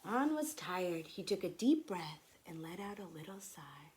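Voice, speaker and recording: Slow, expressive woman reading to children. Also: lowish voice, slight background noise. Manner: simple, instructive phrasing for young children. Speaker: teacher reading aloud to children. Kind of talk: expressive children's read-aloud and gentle explanation.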